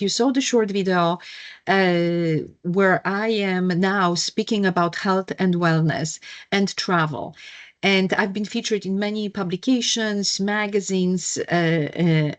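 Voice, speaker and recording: Brief: Polish-accented woman, middle-aged, wellness coach presenting over a video call, hesitant with fillers and restarts. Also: lowish voice. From Poland. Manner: frequent fillers and self-corrections.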